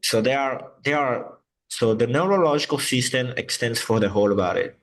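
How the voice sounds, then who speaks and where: A man whose first language is Portuguese, teaching English and slowly repeating words to model their sounds. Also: medium pitch, poor audio. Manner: slow, patient, repeats words to model pronunciation. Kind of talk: online English lesson.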